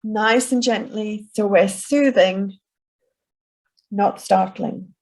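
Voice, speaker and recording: A woman guiding a group through a gentle self-soothing session, speaking softly and soothingly. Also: mid-range voice. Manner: soft, slow and soothing. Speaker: relaxation instructor.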